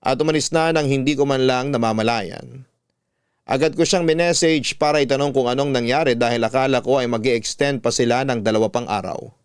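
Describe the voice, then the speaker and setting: A man's voice: medium pitch, brisk, clear, resonant tone, no room sound. Casting: male radio-style storyteller, mid-range voice, scripted first-person romance narration, very dry recording. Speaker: host reading listener love stories.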